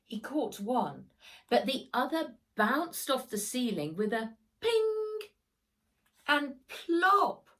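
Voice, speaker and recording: Woman reading a storybook, slow and animated. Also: sits high. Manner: dramatic, with sound words acted out. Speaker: author reading own book. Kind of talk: expressive children's storybook narration.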